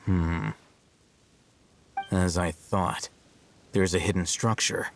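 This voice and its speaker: A man voicing a calm, aloof, studious young man in an anime game, speaking in a deadpan, unhurried way. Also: sits low, noisy background. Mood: focused, observant.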